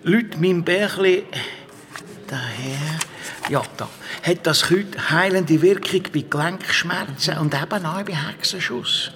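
A man's voice: a little high, measured pace, a bit bright. A man with a Swiss German accent playing a secretive, teasing monk and herbalist in a children's audio drama. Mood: explaining.

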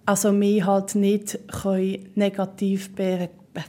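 Slow Swiss woman who stutters. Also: medium pitch, completely flat delivery, dark tone. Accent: Swiss German. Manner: stutters, with repeated syllables and word blocks. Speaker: interviewee. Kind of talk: personal interview.

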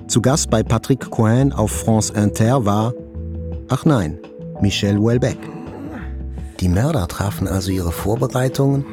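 An actor playing a journalist who soberly recalls his own life in measured literary narration. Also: lowish voice, close to an everyday voice. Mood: sober, dry. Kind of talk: literary first-person narration in audio drama. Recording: faint music behind the voice.

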